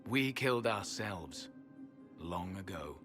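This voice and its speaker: An actor playing an ancient, solemn elven temple guardian in a fantasy video game, speaking in grave, formal, archaic phrases. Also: male voice, deep voice, animated, slow pace, music in the background. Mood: grave, resigned.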